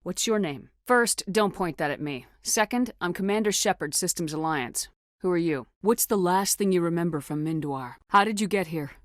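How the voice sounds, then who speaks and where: Steely low-voiced female starship commander played by an actress. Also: animated, moderate pace, dry recording, clean studio sound, close to an everyday voice. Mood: commanding, then gentle, probing. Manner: firm, direct, controlled, authoritative. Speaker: video game protagonist. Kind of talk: scripted video game dialogue acting.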